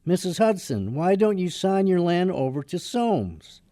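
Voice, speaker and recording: Radio drama mortgage man, played by a male actor: wry yet earnest, soft-hearted, mellow, dry close sound. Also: high-pitched, very animated, unhurried, no echo. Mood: persuasive.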